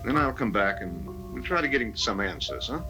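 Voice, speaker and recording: A man's voice: fairly low pitch, sing-song, brisk, low-quality recording. A man playing a reassuring, persuasive doctor and hypnotist in a 1970s community radio drama. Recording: live 1970s radio recording, music behind.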